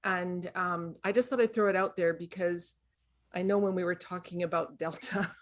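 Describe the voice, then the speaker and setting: A woman's voice: on the low side, steady tone, normal speed. Tentative woman adding a comment. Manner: tentative, hesitant. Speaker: workshop participant. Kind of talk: casual discussion.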